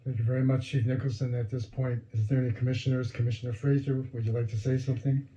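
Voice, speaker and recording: A man chairs a public meeting and calls on commissioners in formal, procedural phrasing. His voice is dark and evenly flat. The sound is dull, with faint ambience. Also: medium pitch, quick pace.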